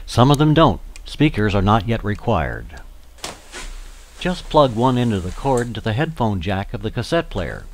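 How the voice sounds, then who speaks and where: A man's voice: on the low side, big pitch swings, normal speed, slight background noise. A man giving scripted, step-by-step instructional narration as a tutorial narrator for volunteers.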